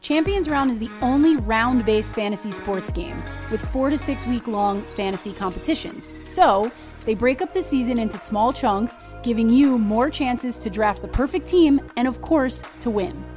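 A woman reading a sponsor ad for a podcast in a bright, promotional way. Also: on the high side, normal speed, music in the background. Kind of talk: sponsor read.

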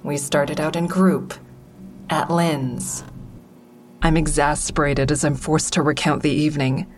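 A woman's voice: deep voice, little pitch movement, measured pace, slight background noise. An actress playing a guarded, agitated, sardonic woman who narrates and speaks in an audio drama. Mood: tense, brooding. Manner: sardonic, exasperated. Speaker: narrator and lead character. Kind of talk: first-person audio drama narration and dialogue.

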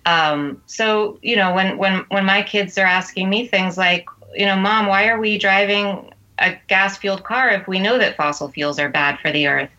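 A middle-aged woman, a guest writer on a podcast, giving measured, earnest and articulate answers. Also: medium pitch, pushed and bright, muffled recording. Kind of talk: considered interview answers.

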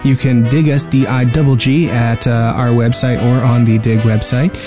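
A man's voice: mid-range voice, level tone, normal speed, music in the background. A man co-hosting a gaming podcast in casual conversation, with a wry, joking way of talking. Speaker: podcast co-host.

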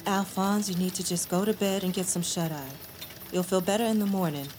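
A woman playing a sharp-tongued, sensible 1860s wife in an audio drama. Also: medium pitch, steady tone, brisk, a little hiss or hum, natural voice. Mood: weary, firm.

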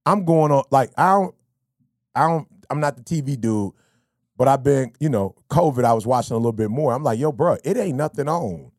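A man, hosting a podcast in casual, animated talk full of slang and stories. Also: medium pitch, brisk, dry recording, studio quality. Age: 50s. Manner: animated, storytelling, slangy with bro and you feel me. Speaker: podcast host. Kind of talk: casual motivational chat.

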